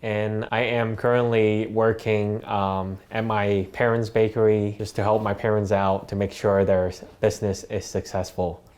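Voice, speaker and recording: Young man from Hong Kong, Cantonese native, low flat voice, steady filler-laden speech, faint music behind. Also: normal speed, hardly any pauses. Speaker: interviewee, bakery worker. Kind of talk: documentary interview.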